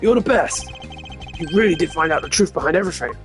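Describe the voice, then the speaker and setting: A man's voice: fairly high pitch, expressive, fast, a bit bright, soft background music, voice pushed up. A man voicing an eager, good-hearted rookie detective in a video game, hesitant, earnest and informal. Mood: bashful gratitude.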